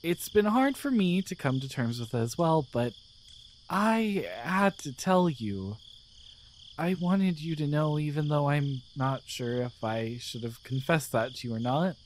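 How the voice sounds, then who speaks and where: A performer voicing a gentle, devoted guardian angel in a romantic ASMR roleplay, speaking tenderly and hesitantly with earnest pauses. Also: medium pitch, sing-song, a little hiss or hum. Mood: nervous, confessional. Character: gentle, devoted guardian angel, newly feeling, shyly in love.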